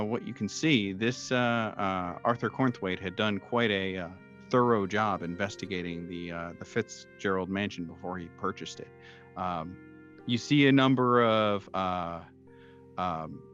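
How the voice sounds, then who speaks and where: Low-pitched man narrating haltingly over music. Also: a bit bright. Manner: halting, hesitant delivery with frequent long hesitations. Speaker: game master. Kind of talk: improvised narration of a tabletop horror mystery. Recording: dull lo-fi sound, music behind. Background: background music.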